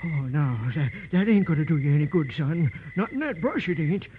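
A man's voice: fairly high pitch, sing-song, brisk. A man playing a folksy, flustered old proprietor with a rural American accent, stammering and hesitant in 1940s radio drama style. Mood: folksy, wary. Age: older. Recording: tinny, noisy old radio recording.

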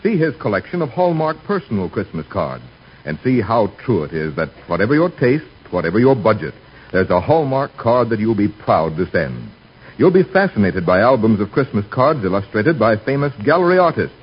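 A man's voice: fairly low pitch, husky. A man working as a radio announcer, reading scripted advertising with a polished, persuasive 1940s sales delivery. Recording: dull 1940s radio recording.

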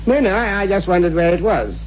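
Nervous gentleman, noisy old radio drama. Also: high-pitched, lively intonation, fast talker, dull audio. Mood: uneasy. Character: nervous, cautious gentleman friend of a widow. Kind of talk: 1960s radio horror acting.

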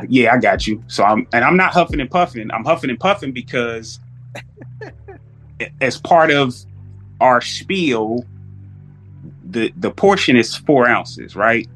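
Guy on a podcast tellin stories, slangy, does both sides of the convo, mid pitch voice. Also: measured pace, pauses often, faint music behind. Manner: animated storytelling, acting out exchanges in slangy speech. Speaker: podcast guest. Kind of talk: casual chat, anecdote telling.

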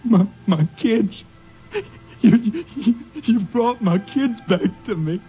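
An actor playing a poor, halting father who stammers and repeats words with overwhelmed gratitude in an old radio drama. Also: sits high, animated. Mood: moved, grateful. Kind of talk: 1950s radio drama acting. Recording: muffled old radio recording, faint music.

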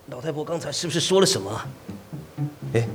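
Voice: mid-range voice, expressive, a little fast, over music, natural voice. A performer voicing a thirty-six-year-old male burglar posing as a renter in a student radio drama, wary and nervous. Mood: panicked. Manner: wary and uneasy, turning to panicked screaming. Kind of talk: amateur radio drama acting.

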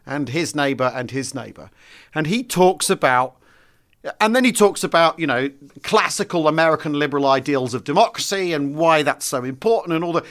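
A man co-hosting a history podcast, talking in an animated, casual way and often restarting his phrases. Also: a little high. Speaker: podcast co-host. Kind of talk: casual conversational history chat.

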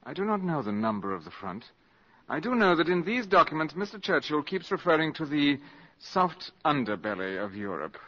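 Cynical, polished wartime spy for old radio play; crisp formal wording, swooping intonation, dulled broadcast sound. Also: male voice, fairly high pitch, big pitch swings, normal speed, bright, forceful tone, exaggerated voice. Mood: coolly insistent. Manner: urbane, precise, sardonic, formal diction. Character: suave, cynical, mercenary valet turned wartime spy. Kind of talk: 1950s radio drama acting. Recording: dull 1950s radio broadcast recording.

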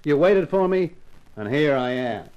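A man's voice: on the high side, animated, measured pace, smooth, dull audio. A man playing a hardboiled, sardonic private eye in a 1950s radio crime drama, with slangy, punchy delivery. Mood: bitter, sardonic. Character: wisecracking hardboiled American male private detective, weary and defiant. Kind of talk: 1950s radio crime drama acting with first-person narration.

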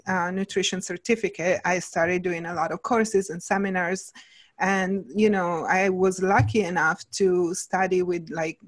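A middle-aged woman with an Italian accent, talking casually as a guest nutritionist with lots of "like" and "you know". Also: lowish voice. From Italy. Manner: chatty, many fillers like "like" and "you know". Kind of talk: casual interview talk.

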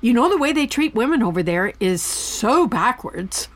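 A woman's voice: a little high, very animated, normal speed. An actress playing a chatty, anxious, naive older mother in an audio comedy, rambling and nosy. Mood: nosy, flustered. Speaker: actor in an audio drama. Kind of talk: naturalistic audio comedy acting.

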